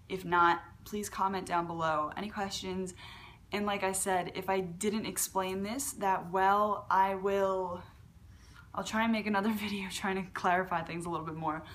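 Young woman doing a casual beatbox how-to on her laptop cam, kinda low voice, lots of ums, faint hiss in the room. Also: a bit bright. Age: young adult. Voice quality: lower voice for a woman. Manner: chatty, with fillers and restarts. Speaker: beatbox tutorial host. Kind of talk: casual video tutorial. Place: large living room at home.

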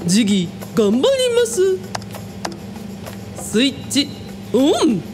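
A woman's voice: fairly high pitch, big pitch swings, slow, over loud music. A woman playing an eager, devoted young helper in a radio drama, cutesy and earnest, with cat-like endings. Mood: eager, determined.

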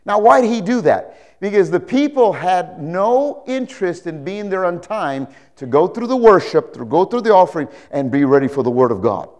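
A man's voice: high voice, big pitch swings, normal speed, darkish tone. A man in his sixties preaching as a pastor, telling anecdotes emphatically, repeating phrases and checking that listeners agree. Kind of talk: animated sermon with anecdotes. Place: indoor church stage, speaking to a congregation. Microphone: lapel mic.

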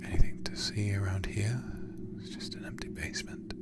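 A man reading a horror game aloud in ASMR style, hushed, breathy and deliberate, close to the microphone. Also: low voice, steady tone. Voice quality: breathy, whispery. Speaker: livestreamer playing a horror game.

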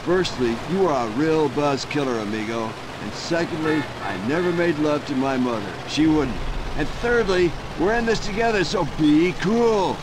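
An actor voicing a paranoid, stoned aging hippie in a video game, rambling in a spaced-out stoner drawl full of hippie slang. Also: male voice, fairly high pitch, lively intonation, normal speed, lightly acted. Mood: offended, mellow. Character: paranoid, stoned aging hippie man, laid-back conspiracy theorist. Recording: loud vehicle noise behind the voice. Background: vehicle engine and road noise.

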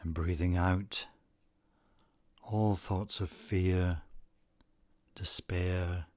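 A man narrating a scripted guided meditation, giving gentle instructions in a calm, deliberate manner. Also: sits low, no room sound.

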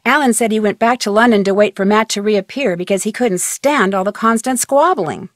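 A young woman with an American accent playing a chatty, curious, level-headed amateur detective in a video game, speaking conversationally with clear diction. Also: medium pitch, fairly quick, no echo, clean studio sound, close to an everyday voice. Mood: matter-of-fact, intrigued. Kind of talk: video game voice acting, conversational phone-call dialogue.

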